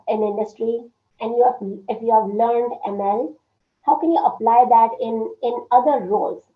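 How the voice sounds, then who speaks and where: A woman presents an online workshop in a fairly high, strained and hoarse voice. She pauses often on fillers and keeps clearing her throat. Her video call sound is narrow and phone-like, with a roomy echo. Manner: halting, full of fillers and frequent throat clearing. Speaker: workshop speaker. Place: indoor room at home. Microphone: laptop webcam on a video call.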